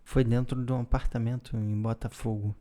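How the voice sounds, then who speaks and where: A man, co-hosting a podcast and explaining things in a calm, casual way, often ending with a questioning tag. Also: medium pitch, moderate pace. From Brazil. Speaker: podcast co-host. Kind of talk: casual conversational storytelling.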